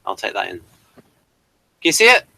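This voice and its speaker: A British man hosting a casual online review chat in a rambling, halting way. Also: fairly low pitch, wildly swooping pitch, creaky, sharp tone, dry recording. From Britain. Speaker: host of online hangout. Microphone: webcam livestream setup.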